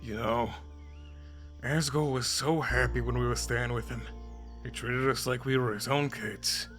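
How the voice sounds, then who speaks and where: A man's voice: mid-range voice, fairly even intonation, measured pace, fairly bright tone, faint music behind, lightly acted. A man playing a laid-back, wry skeleton with a casual, dry, needling way of talking in a fan comic dub. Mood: grave, blunt.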